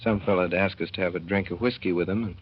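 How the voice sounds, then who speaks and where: Man doing a naive young guy from the horse races, folksy, plain talk, deep voice, muffled old radio. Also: fairly even intonation, fast, a crowd in the background, close to an everyday voice. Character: naive young man telling his own story. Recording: muffled 1930s radio broadcast.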